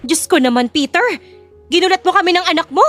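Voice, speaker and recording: A woman's voice: extremely high pitch, fast, a bit bright, faint music behind. A woman playing a greedy, haughty middle-aged mother in an audio drama, with a scornful, theatrical delivery. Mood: indignant. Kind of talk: audio drama character acting.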